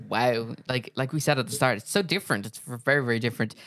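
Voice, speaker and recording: A man's voice: medium pitch, quick pace, noisy background. An Irish man co-hosting a podcast, chatting casually with many fillers and frequent laughs. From Ireland. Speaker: co-host.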